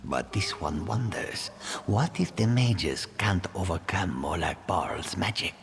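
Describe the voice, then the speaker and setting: An actor voicing a cautious beast-folk warrior with a gravelly voice and slow, deliberate drawl in a fantasy game. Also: male voice, sits low, expressive, heavily acted. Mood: wary, approving. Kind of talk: dramatic fantasy game dialogue. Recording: reverberant hall with background noise.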